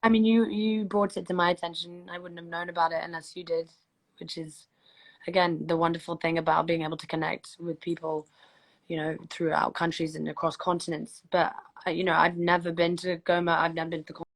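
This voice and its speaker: A young woman with a slightly low voice talks into her phone on a casual livestream. She makes an earnest, rambling appeal, circling back over her words as she goes. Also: flat delivery, fairly quick, poor audio. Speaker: livestream host.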